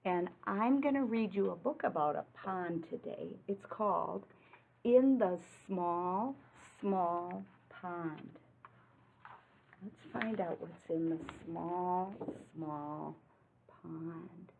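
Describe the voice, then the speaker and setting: A woman's voice: on the low side, gravelly. Female read-aloud teacher for little kids, simple questioning delivery, emphatic on rhymes, thin phone-quality audio. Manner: simple child-directed talk with frequent questions; deliberate, emphatic delivery of rhyming words. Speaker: teacher reading aloud to young children. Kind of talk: picture-book read-aloud with explanations and questions. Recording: muffled, phone-like narrow-band sound.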